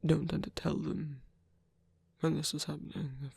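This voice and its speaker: A gravelly, breathy villain played by a man, worn down by pain yet tender. He talks in halting, gentle phrases, as in an intimate ASMR roleplay. The sound is close and dry, with no room around it. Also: on the high side, little pitch movement, dry recording, exaggerated voice. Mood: weary, reassuring. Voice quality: breathy, creaky. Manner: hesitant, halting, soft-spoken. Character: weary, tender male villain, bedridden with pain.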